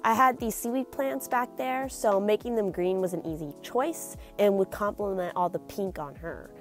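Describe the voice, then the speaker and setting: Young woman, stuffy nasal voice, chatty art voice-over, soft music under her. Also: medium pitch, normal speed. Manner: chatty, many fillers and restarts. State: nasal from a cold. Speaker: artist narrating her painting video. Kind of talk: casual voice-over narration.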